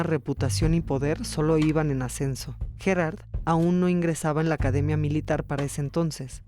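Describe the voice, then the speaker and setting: A man's voice: on the high side, darkish tone. A man reading scripted documentary narration. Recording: music behind. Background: music.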